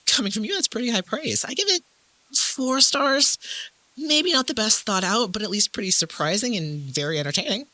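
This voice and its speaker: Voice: mid-range voice, lively intonation, moderate pace, pushed and bright, no echo, rough recording. A performer playing an enthusiastic, articulate woman arts critic hosting a review show in an audio drama. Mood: animated, amused.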